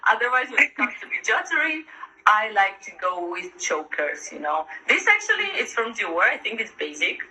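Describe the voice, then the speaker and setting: Very bright-voiced, enthusiastic woman. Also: mid-range voice, sing-song, normal speed, faint music behind, poor audio. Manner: gushing, enthusiastic. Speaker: guest showing her fashion collection. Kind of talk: casual chat, show-and-tell. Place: online live video call.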